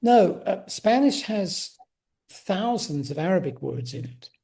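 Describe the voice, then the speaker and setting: A man hosting a podcast interview, speaking in measured, academic phrasing. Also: high-pitched, sing-song, vocal fry, warm tone, husky. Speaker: podcast host. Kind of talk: interview conversation.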